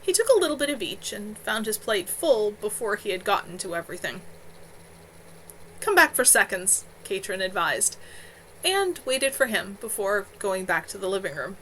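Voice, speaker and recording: A woman reads fiction aloud in an expressive, storytelling style. Her voice is bright and forward, with a very lively pitch that moves between narration and animated dialogue. There is faint noise in the background of the home recording. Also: normal speed, rough recording. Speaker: author reading own novel. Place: at home on a live stream.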